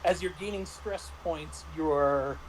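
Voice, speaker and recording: A man's voice: a little high, takes it slowly, slight background noise, poor audio. A middle-aged man chatting casually as a game master, stammering and repeating words as he explains things. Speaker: game master reviewing a tabletop RPG. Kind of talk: casual chat.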